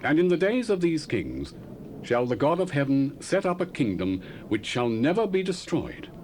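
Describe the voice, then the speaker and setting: A man's voice: a little high, huge pitch swings, normal speed, a little mellow, a little hiss or hum. An actor playing a young male prophet, speaking in solemn, formal, old-fashioned declamation in a dramatized scripture reading.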